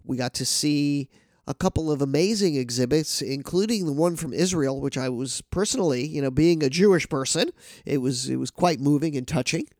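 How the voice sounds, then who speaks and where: A man's voice: a little high, a little fast, a little mellow, no room sound, studio quality. A man with a nasal, congested voice from a cold, hosting a casual news talk show and rambling with many restarts. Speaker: podcast host.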